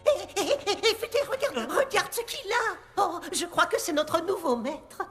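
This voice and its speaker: A woman's voice: very high voice, very animated, moderate pace, a bit bright, soft background music, exaggerated voice. A woman voicing an eager, scatterbrained little female cartoon creature, bubbly and tongue-tied. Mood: excited, awed. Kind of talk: dubbed cartoon acting.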